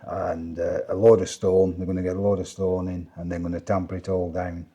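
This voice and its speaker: A man's voice: sits low, flat delivery, a little fast, mellow. A middle-aged man with a Lancashire accent, chatting at a home kitchen table and rambling through retold conversations. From Burnley, England. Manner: rambling, retells conversations with quoted speech. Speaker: vlogger. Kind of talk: casual kitchen table chat. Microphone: clip-on mic.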